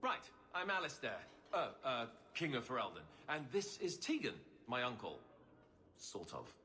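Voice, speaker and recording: A man's voice: medium pitch, huge pitch swings, normal speed, bright, forceful tone. An actor playing an affable, self-deprecating king in a video game, with a wry, informal manner. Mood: wry, awkward. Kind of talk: video game cutscene acting.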